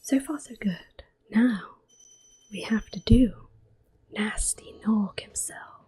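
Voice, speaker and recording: A woman giving gentle, unhurried ASMR gameplay commentary in a soft, breathy whisper as she plays. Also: mid-range voice. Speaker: gameplay streamer. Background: video game music.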